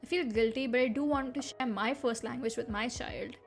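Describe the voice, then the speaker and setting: A woman's voice: on the high side, brisk, creaky, faint music behind. A young woman whose first language is Hindi, reading online posts aloud on a stream and reacting with blunt, incredulous commentary and frequent laughter. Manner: animated, incredulous, laughs often, blunt with swearing. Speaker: VTuber streamer.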